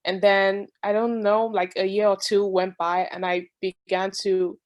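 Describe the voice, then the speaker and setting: A woman's voice: medium pitch, level tone, a little slow. Young woman, Nigerian accent, candid and easygoing, video call audio. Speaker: webinar participant. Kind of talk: casual video call chat.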